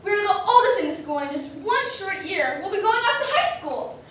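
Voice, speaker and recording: A woman voicing an excitable, chatty eighth-grade girl in a stage reading, recorded at a distance in an echoey room. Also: very high-pitched, a little fast. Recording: echoey room, distant mic, faint noise.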